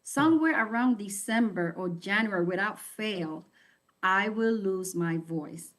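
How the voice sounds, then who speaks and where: A woman's voice: mid-range voice, a little slow, fairly bright tone. A woman sharing a personal health testimony in a candid, reflective storytelling manner.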